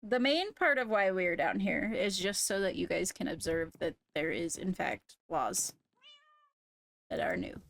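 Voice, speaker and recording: Lively woman chatting in a game. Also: fairly low pitch, unhurried, creaky, dry recording. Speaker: Minecraft roleplay player. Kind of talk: casual in-game group chat.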